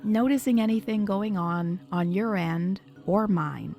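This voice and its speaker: A woman hypnotherapist leading a guided meditation in a soothing, measured, instructional manner. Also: mid-range voice, dull audio. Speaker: hypnotherapist guiding a session. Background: background music.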